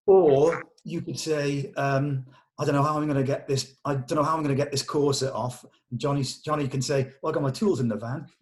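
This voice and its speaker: A man's voice: fairly high pitch, fairly even intonation, brisk. A man speaking casually in a meeting, as a co-writer steering a table read discussion.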